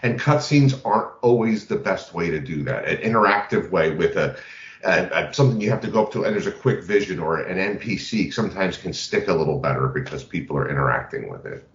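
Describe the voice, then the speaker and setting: A man's voice: low voice, animated, brisk, a little hiss or hum. A man co-hosting a podcast, giving advice in casual conversation. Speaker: podcast co-host. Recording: roomy, echoing, muffled lo-fi audio.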